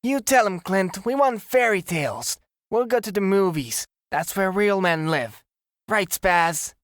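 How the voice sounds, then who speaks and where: A woman's voice: mid-range voice, animated, a little slow, dry recording, studio quality, natural voice. A woman voicing an eager, cheeky young boy from a street gang in a comic dub, brash and slangy. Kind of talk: comic dub character acting.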